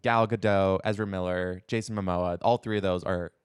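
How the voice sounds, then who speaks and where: A man with a fairly low voice chats casually as a podcast co-host. He tends to voice imagined reactions as short quotes, and the sound is very dry with no room to it. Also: fairly even intonation, moderate pace, clean tone, no room sound. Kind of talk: casual chat.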